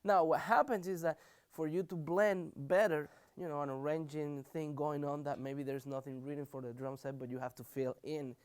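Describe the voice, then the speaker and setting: A man teaching a music workshop, counting in the students and singing drum patterns aloud in an animated way. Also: fairly high pitch, moderate pace, few pauses, clean tone, dry recording.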